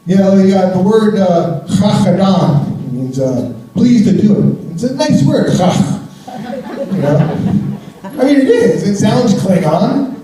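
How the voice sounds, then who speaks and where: A man's voice: high voice, animated, unhurried, dark tone. An American man performing a stand-up comedy set on stage in front of a live audience, talking in a wry, conversational way and chuckling at his own jokes. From United States. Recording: roomy theater sound, mic at a distance.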